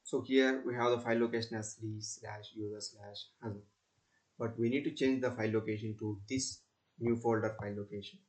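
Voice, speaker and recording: A man narrates a step-by-step software tutorial as a student presenter, in halting, repetitive instructional phrases. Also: lowish voice, fairly even intonation.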